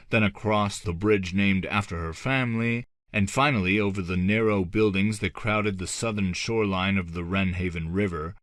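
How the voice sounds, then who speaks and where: A man reading a gothic fantasy audiobook as scripted narration, with measured, dramatic phrasing that often drops softly at the ends of phrases. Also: low-pitched, no echo, clean studio sound.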